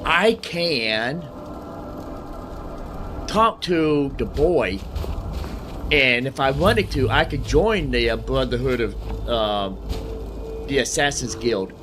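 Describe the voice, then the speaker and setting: A man's voice: mid-range voice, animated, takes it slowly, pauses often, noisy background. A man giving casual gameplay commentary in a folksy, rambling way, explaining things and talking to himself. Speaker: gaming host.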